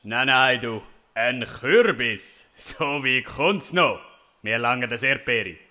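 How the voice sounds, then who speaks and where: A man's voice: medium pitch, expressive, takes it slowly, pushed and bright, heavily acted. An actor playing a stubborn, boastful folk-hero father with a Swiss German accent in a comic audio play. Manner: defiant, declamatory.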